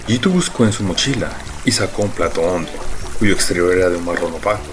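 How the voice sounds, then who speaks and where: A man narrating an audio drama in a measured, scripted storytelling style. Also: low voice, background noise.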